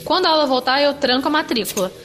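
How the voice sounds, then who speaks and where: A woman playing a fed-up, homesick young student flatmate in an audio drama, speaking casually with a Brazilian accent. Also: high voice, fast, faint music behind. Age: young adult. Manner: colloquial, casual.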